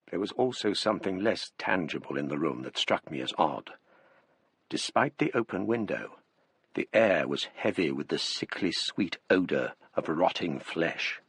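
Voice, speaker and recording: An actor playing a grave gentleman narrator with an English accent, telling a gothic tale in literary, dramatic style for radio. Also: low-pitched, vocal fry, slightly rough, dry recording, full character voice. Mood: grim.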